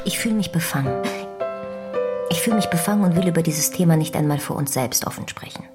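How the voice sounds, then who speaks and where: A low, hushed guarded wife from the early 1800s speaks in a radio play. She sounds conflicted and slips into whispering, while loud piano plays behind her. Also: expressive, brisk. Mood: uneasy, evasive. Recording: loud piano music underneath.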